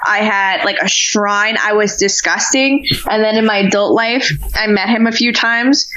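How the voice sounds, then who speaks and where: A woman's voice: mid-range voice, steady tone, normal speed, fairly bright tone, muffled recording. A woman in her late twenties, a guest chatting enthusiastically and saying "like" a lot. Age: late 20s. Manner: enthusiastic, gushing, peppered with "like". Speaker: wrestler guest. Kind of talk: casual interview chat.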